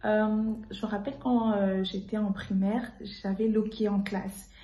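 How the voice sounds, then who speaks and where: A woman in her twenties from Congo, talking casually as a guest about lessons from her year, relaxed and slipping into English phrases now and then. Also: medium pitch, a little slow, echoey room, muffled recording, faint music behind. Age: 20s. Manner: relaxed, mixes in English phrases, laughs easily. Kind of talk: casual testimony to camera.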